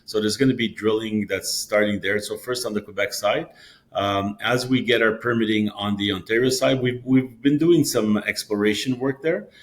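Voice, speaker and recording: A man, a mining company executive, answers investor questions in a halting way, often repeating words and using fillers. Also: lowish voice.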